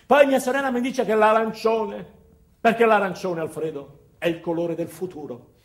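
A man's voice: sits high, moderate pace. An actor, a man, voicing a chatty, overbearing sister who is insistently cheerful and gushing with her brother. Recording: roomy, echoing theatre sound.

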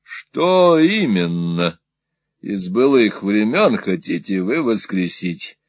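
A man's voice: fairly low pitch, sing-song, slow, dry recording, exaggerated voice. A man voicing a cold, terse older male moneylender who speaks in dry, cutting remarks in an audiobook reading.

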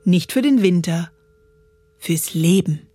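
A woman performing a literary poetry recitation in a measured, deliberate way with dramatic pauses. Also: fairly low pitch, expressive, warm tone, slightly rough. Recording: music behind the voice. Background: music.

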